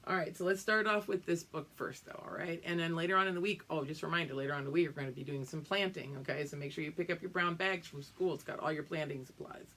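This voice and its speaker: A woman teacher reading a picture book aloud to young pupils, drawing out the story and explaining it as she goes. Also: deep voice. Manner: expressive, drawn-out reading with teacherly explanations and check-ins.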